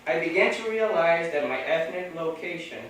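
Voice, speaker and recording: Male academic speaker, Brazilian Portuguese accent, measured lecture, slightly high voice, distant mic in a very reverberant hall. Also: expressive, fairly bright tone, a little hiss or hum. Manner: measured, deliberate delivery with pauses. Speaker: conference speaker. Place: large echoey lecture hall. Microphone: podium microphone.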